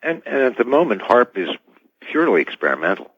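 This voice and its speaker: A man's voice: mid-range voice, very animated, fairly quick, breathy edge, dry recording, highs cut off. A man giving brief remarks as a guest in a radio interview.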